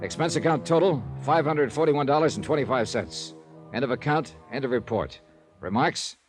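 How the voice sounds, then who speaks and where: An American actor playing a dogged, level-headed insurance investigator in a 1950s radio detective drama, with a clipped, wry delivery. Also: male voice, mid-range voice, normal speed, close to an everyday voice. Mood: dry, weary. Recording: vintage 1950s radio recording with music.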